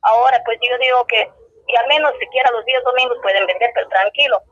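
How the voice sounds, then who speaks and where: A woman's voice: a little high, fairly even intonation, fast, a bit bright, dry recording. Brief: Peruvian Spanish woman shopkeeper, indignant and rambling, dull dry lo-fi recording. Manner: rambling, indignant, with many restarts. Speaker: local shop owner interviewed. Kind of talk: street interview.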